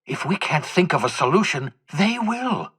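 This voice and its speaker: A man playing an eccentric, obsessive older fugitive scientist in a video game, raspy and theatrical, with emphatic, articulate phrasing and bitter self-reproach. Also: high-pitched, big pitch swings, normal speed, a bit bright, exaggerated voice. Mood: anguished, frustrated. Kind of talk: dramatic video game dialogue.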